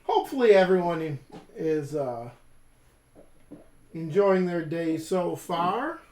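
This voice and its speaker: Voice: mid-range voice, animated, a little mellow. An American hobby host chatting casually and rambling, often talking to themselves while working at a table. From Western New York, United States. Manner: unhurried, rambling, talks to self while working. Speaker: co-host of a tabletop miniature painting channel. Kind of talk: casual rambling chat while painting miniatures. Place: indoors at a painting table. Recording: echoey room.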